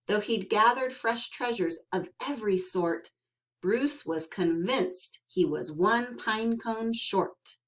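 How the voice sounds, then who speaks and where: A woman reading a children's picture book aloud as an expressive storyteller, with dramatic emphasis and drawn-out words. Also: medium pitch, poor audio.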